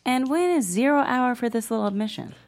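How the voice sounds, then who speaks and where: A woman's voice: a little high, expressive, a little fast, a little mellow. A woman playing a blunt, morally ambiguous small-town policewoman in her thirties at a comedy table read, with dry delivery.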